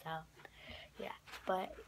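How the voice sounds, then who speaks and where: A young woman with a raspy, often whispery voice, rambling through a casual craft tutorial with lots of fillers and restarts, filmed close up on a phone camera in her bedroom. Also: slow. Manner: rambling, filler-heavy, self-correcting. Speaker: craft vlogger. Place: small bedroom indoors. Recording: noisy home recording.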